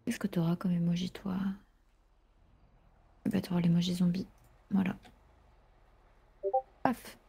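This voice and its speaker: A woman's voice: lowish voice, little pitch movement, unhurried, gravelly, long pauses, dark tone, husky. A woman chatting casually in an improvised online roleplay, thinking aloud as she welcomes a new employee.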